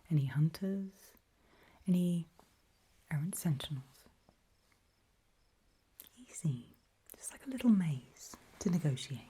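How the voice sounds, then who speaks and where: A woman playing a calm, faintly sinister guide in a creepy ASMR roleplay, whispering slowly and deliberately with a breathy voice. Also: low-pitched, heavily acted. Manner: slow, deliberate, coolly instructive, softly ominous. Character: calm, faintly sinister female guide briefing people on alien dangers.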